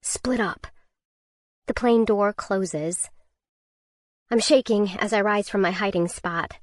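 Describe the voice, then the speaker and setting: A woman narrating a first-person survival thriller audiobook in a tense, measured way. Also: medium pitch, dry recording, studio quality.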